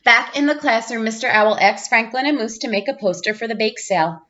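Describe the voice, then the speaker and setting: A woman reading a children's storybook aloud in an expressive narrating style. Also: a little high, fairly quick, dull audio. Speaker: storybook reader, teacher.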